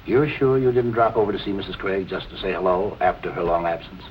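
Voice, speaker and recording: Calm, probing police captain played by a man. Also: low-pitched, muffled recording, a little hiss or hum, close to an everyday voice. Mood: coolly probing. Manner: measured, politely insistent questioning. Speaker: actor. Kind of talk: 1940s film noir acting.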